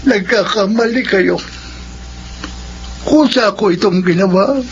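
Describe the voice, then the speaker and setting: A kindly old Filipino man in a radio drama, solemn in his delivery. The sound is muffled and clearly noisy. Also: sits high, slow pace. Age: older.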